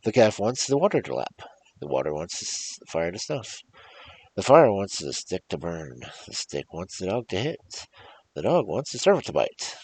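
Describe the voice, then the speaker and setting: A man with a gravelly, husky voice hosting a storytelling show, reading fairy tales in a theatrical, emphatic, rhythmic way. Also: low-pitched, sing-song, normal speed, a little mellow, poor audio. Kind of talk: animated performed fairy-tale reading with casual asides.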